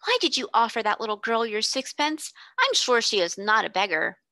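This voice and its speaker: A woman's voice: on the high side, big pitch swings, moderate pace, sharp tone, dry recording, clean studio sound, full character voice. A woman voicing a sensible, knowing young girl who speaks decidedly in a storybook reading. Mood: worried. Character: sensible older sister, a rich girl.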